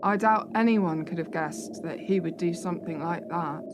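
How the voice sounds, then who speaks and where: A woman's voice: lowish voice, moderate pace, noisy background, natural voice. An actress playing an earnest young woman new to a job in a radio play, polite and tentative. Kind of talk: radio drama acting.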